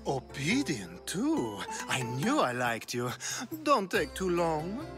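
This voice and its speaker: An actor playing a flamboyant, lecherous aristocratic reveler in a video game, with a teasing, languid, theatrical manner. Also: male voice, on the high side, huge pitch swings, slow, music in the background, heavily acted. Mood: flirtatious. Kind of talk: video game cutscene acting.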